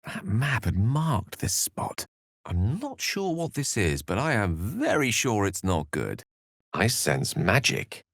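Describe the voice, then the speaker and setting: A man's voice: fairly low pitch, wildly swooping pitch, slow, a bit bright, no echo, clean studio sound, full character voice. A man voicing a courteous, self-assured wizard companion in a video game, articulate and wryly theatrical. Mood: observant. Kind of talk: video game character voice lines.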